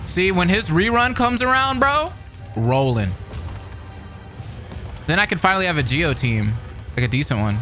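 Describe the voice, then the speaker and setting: A man doing excitable game reaction commentary, with loud outbursts and cut-off swearing. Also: sits high, moderate pace, long pauses. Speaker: gaming commentator. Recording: voice over loud game music. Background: game music.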